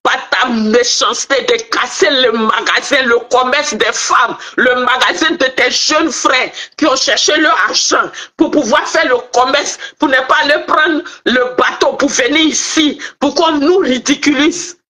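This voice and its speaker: A woman's voice: high voice, unhurried, few pauses, bright, forceful tone. A middle-aged woman from Ivory Coast with an Ivorian French accent, scolding and taunting in a heated political rant, nose stuffy from a cold. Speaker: online commentator. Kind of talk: angry political rant to viewers.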